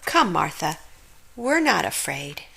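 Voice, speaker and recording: Woman playing a bright, plucky little storybook girl. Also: low-pitched, big pitch swings, slow, creaky, breathy edge, full character voice, rough recording. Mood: earnest. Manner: exclaiming, sometimes hesitant and stammering. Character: plucky but nervous little girl trick-or-treating with dog.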